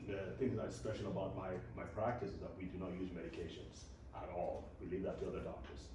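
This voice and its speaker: Deep-voiced man talking in an echoey hall. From Nigeria. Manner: relaxed, repeats words, chuckles often. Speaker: doctor giving a talk. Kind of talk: live talk to an audience. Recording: echoey hall with distant mic and noise.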